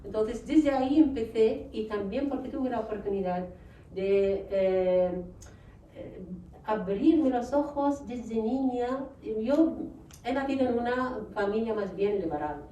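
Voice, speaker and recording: A woman's voice: on the high side, expressive, slow pace, mellow. A woman in her sixties from Iraq, a writer speaking on a panel with an Iraqi Arabic accent, hesitant with many fillers. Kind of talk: panel talk. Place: lecture hall. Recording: roomy far-off mic, faint noise.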